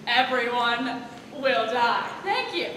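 Far-off hall recording, teenage girl playing commanding stage manager, high voice, overdramatic, doom-laden, sarcastic, theatre comedy. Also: lively intonation, takes it slowly. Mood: grim, doom-laden. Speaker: student stage actor. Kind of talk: scripted stage comedy acting. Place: large school theatre stage, audience present. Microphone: far camera in audience. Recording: distant, noisy, echoey auditorium stage recording.

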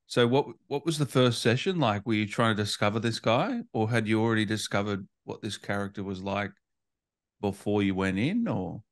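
A man's voice: lowish voice, moderate pace. A man hosting a casual interview, enthusiastic as he reacts and asks questions. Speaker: interview host.

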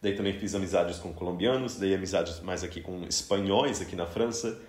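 This is a chatty man in his thirties from Brazil hosting a podcast interview, and he talks with easy laughter and a lot of restarts. His voice sits a little low for a man. The recording has a roomy echo around it. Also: a little fast, darkish tone. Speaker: podcast host interviewing a guest. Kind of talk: casual interview conversation.

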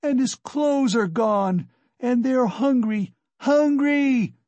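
A man's voice: extremely high pitch, takes it slowly, darkish tone, no echo, lo-fi sound, pitched above the performer's own voice. A man voicing a frenzied, despairing poor wife and mother in an audiobook, crying out accusingly. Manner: shrieking, accusing, exclamatory. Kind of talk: dramatic audiobook character acting.

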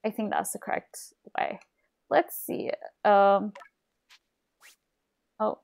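A young woman from Turkey talks casually on a game stream from a small room at home, close to a desk mic. She rambles, restarts her sentences and fills the gaps with "um" and "like" at a middling pitch. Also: slow pace, gravelly, a little mellow. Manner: rambling, many fillers and restarts. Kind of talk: casual chat while gaming.

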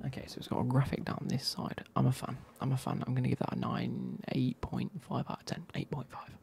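A man, reviewing football kits in a soft, casual whisper with wry asides. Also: mid-range voice, steady tone, fairly quick, creaky, husky.

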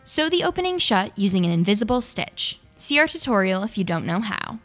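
A woman's voice: mid-range voice, big pitch swings, a little fast. A young woman hosting a craft tutorial, reading scripted step-by-step instructions. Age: young adult. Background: background music.

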